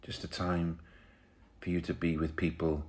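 Very deep-voiced man guiding meditation. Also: flat delivery, darkish tone. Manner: soothing, unhurried, pausing between short phrases. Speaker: meditation guide. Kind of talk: guided meditation.

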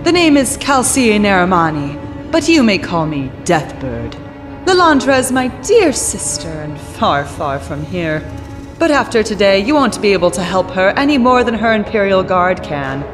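A woman's voice: mid-range voice, very animated, normal speed, big-room sound, over music, close to an everyday voice. A woman voicing a scheming, haughty alien princess who gives orders with contempt. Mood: gloating. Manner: haughty, commanding.

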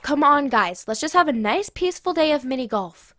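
A woman's voice: high-pitched, expressive, brisk, bright, forceful tone. A woman playing an upbeat, affectionate, peacekeeping young friend in an audio drama. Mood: caring, excited.

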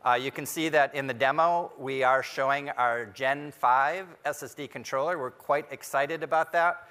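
A man giving a prepared keynote presentation on a large conference stage, speaking in measured, deliberate phrases with pauses. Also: mid-range voice, bright, forceful tone, clean tone. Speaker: technology executive giving a keynote. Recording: hall reverb from a large venue.

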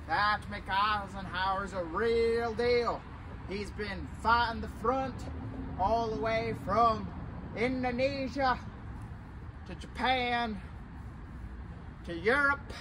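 A young man playing a folksy, blustering American wartime reporter in a parody news report, with a drawling delivery. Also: sits high. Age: young adult. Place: open urban field outdoors. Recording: noisy outdoor recording with traffic.

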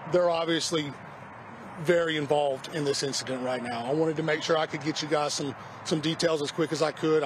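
Middle-aged male fire spokesman, slightly high voice, halting press briefing, outdoors with street noise. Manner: halting, repeats words when unsure. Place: outdoors by a parking lot. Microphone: news camera mic, close.

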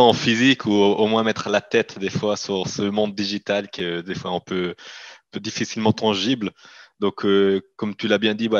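A man's voice: fairly low pitch, no echo, muffled recording. A man in his thirties from Italian-speaking Switzerland, a native Italian speaker with a Swiss Italian accent, talking hesitantly as a guest over a video call. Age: 30s. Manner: hesitant, many restarts and fillers. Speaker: company CEO guest. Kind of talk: casual interview talk.

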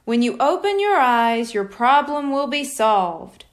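Storybook stage hypnotist, male, showy and commanding, smooth, drawn-out delivery, played by a woman. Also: very high-pitched, sing-song, fairly bright tone, heavily acted. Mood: hypnotic, soothing. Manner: theatrical, slow and deliberate.

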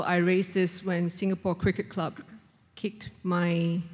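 A middle-aged woman making prepared, earnest points from the floor at a conference. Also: fairly low pitch. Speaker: audience questioner, social service worker. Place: large conference ballroom. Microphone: standing floor microphone. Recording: long hall reverb from conference PA.

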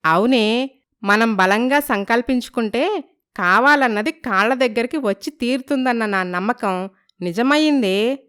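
A woman's voice: on the high side, a little slow, fairly bright tone, smooth. A woman playing a determined, forthright and hopeful young bride in a dramatized moral story. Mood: elated.

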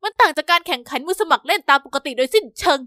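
A cold, harsh stepmother from a spoken story, scolding in a loud, cutting voice, as a woman narrator puts her on. The voice sits high and bright, and the recording is dry and close. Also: lively intonation, racing, dry recording.

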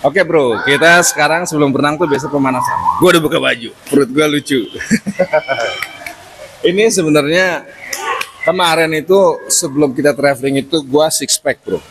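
A man's voice: on the high side, normal speed, long pauses, music in the background, rough recording. A middle-aged man vlogging in casual chat to camera, joking and laughing often, filmed by a camera mic at an indoor rooftop pool.

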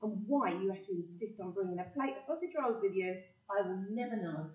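A woman's voice: mid-range voice, lively intonation, a little fast, a little mellow, recorded from a distance, lightly acted. A woman playing a bossy, nagging but good-hearted London matriarch in a community audio play, with an exasperated, scolding manner. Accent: London English. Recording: echoey room, away from mic.

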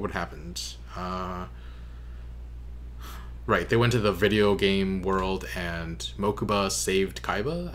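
A young man with a low voice hosts a casual reaction video. He speaks in an earnest, hesitant way into a desk microphone, with faint noise behind him. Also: a bit bright. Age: young adult. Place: small bedroom, indoors.

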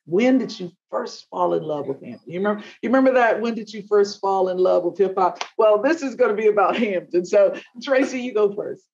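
A woman's voice: medium pitch, brisk, few pauses, a little mellow, slight background noise. A woman moderating a panel over a video call, playful and teasing with the guests. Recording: slightly dull video call audio.

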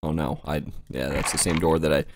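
A man's voice: rumbling low, steady tone, fairly quick, noisy background. A man giving casual, joking gameplay commentary in a slangy, sweary way, as host of a gaming video.

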